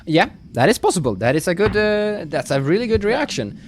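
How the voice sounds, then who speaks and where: A man running a tabletop roleplaying game, narrating the action off the cuff in a halting, thinking-aloud way. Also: high voice, sing-song. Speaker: game master. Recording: faint noise, live stream audio.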